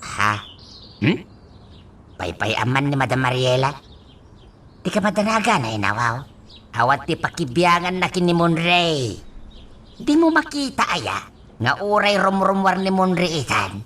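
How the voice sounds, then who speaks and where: A man's voice: a little high, sing-song, unhurried, pauses often, pushed and bright, heavily acted. An actor with a Filipino accent playing a kindly, teasing older father in a radio drama. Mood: reassuring.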